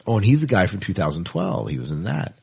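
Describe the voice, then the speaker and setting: A man with a low-pitched, slightly rough voice hosts a casual podcast. His intonation moves up and down in a very animated way, and he talks in a playful, teasing manner. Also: quick pace. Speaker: podcast co-host.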